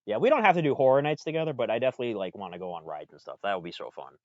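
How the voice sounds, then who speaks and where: A man in his mid-twenties chats easily on a podcast, peppering his talk with "dude." His pitch rises and falls in a lively way. The sound is dry and dull, with little room in it. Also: rapid-fire, no room sound. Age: 20s. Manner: laid-back, says "dude" a lot, laughs easily. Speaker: podcast co-host. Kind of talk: casual chat.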